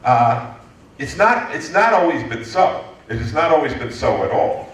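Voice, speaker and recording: A man in his fifties from the United States, answering an audience question at a lecture in a lively, joking way with many restarts. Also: on the high side, normal speed. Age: 50s. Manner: animated, joking, frequent restarts. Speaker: guest speaker, entrepreneurship lecturer. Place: lecture hall. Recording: echoey hall from far off.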